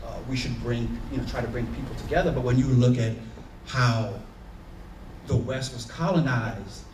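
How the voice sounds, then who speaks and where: A man's voice: mid-range voice, moderate pace, darkish tone, a little hiss or hum. A man from Haiti with a Haitian accent, speaking as a panelist, earnest and searching, with many fillers and restarts. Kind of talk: reflective personal talk on a panel. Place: conference room. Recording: echoey room, voice from far off.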